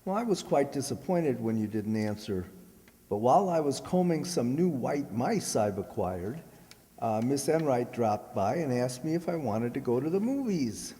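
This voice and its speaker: A man acts out a bashful, earnest gentleman suitor in a radio comedy, polite and awkwardly halting. His mellow voice jumps about in pitch, in a long hall reverb. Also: sing-song. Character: shy, earnest, oblivious male suitor fond of mice. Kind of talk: comedic old-time radio acting.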